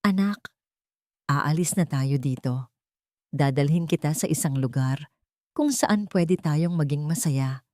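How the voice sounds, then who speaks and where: A tender, resolute young mother in a storybook drama, voiced by a woman narrator. Her voice is low, in a dry recording. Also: a little slow, long pauses, mellow, no echo.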